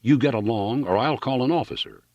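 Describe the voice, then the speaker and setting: A man voicing an irate, vulgar landlady in an audiobook, scolding loudly in uneducated slang. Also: mid-range voice, expressive, fairly quick, dry recording, dull audio, voice pushed up. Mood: indignant, threatening. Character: irate, shrill, vulgar landlady, blustering and hostile. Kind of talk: audiobook character voice.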